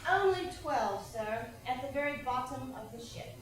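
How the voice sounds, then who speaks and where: A woman's voice: high-pitched, lively intonation, moderate pace. Woman acting sarcastic, swooping female accuser, echoey stage. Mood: accusing. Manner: pointed, sarcastic, theatrical. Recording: very echoey stage, distant microphone.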